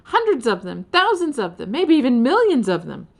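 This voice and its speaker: A woman reading a children's story aloud as an expressive narrator. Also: a little high, normal speed, vocal fry. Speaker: audiobook reader.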